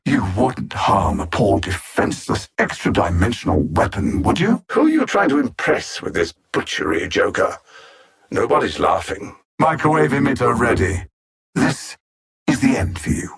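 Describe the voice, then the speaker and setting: A coldly calculating alien supervillain from a video game, haughty and mocking. The voice is husky and full of gravel, sliding widely up and down as he delivers formal, condescending threats. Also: medium pitch, a bit bright, heavily acted. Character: cold, arrogant alien machine-intellect villain, condescending and calculating. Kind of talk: scripted video game villain dialogue.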